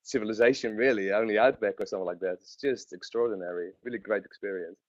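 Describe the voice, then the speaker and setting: A man's voice: on the low side, quick pace, dry recording, highs cut off. A man, a webinar guest recalling travel with lots of fillers and restarts. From Netherlands. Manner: rambling, many fillers and restarts. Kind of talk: webinar interview.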